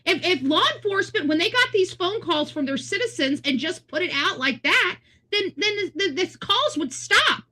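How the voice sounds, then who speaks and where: A woman's voice: extremely high pitch, lively intonation, moderate pace, pushed and bright. An American woman giving unscripted, opinionated commentary in a blunt, emphatic, lecturing way. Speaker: online true-crime commentator.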